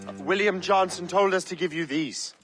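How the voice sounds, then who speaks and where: A man's voice: high voice, moderate pace, recorded from a distance, over music, natural voice. An actor playing a cool, defiant young male assassin agent in a dramatic video game. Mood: polite.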